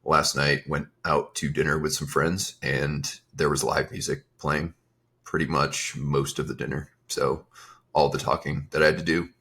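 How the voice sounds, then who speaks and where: A young adult man hosting his own fitness podcast, talking in a relaxed, rambling way with a slightly raspy, strained voice. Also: extremely low pitch, moderate pace. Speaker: podcast host and fitness coach. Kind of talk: casual solo podcast talk.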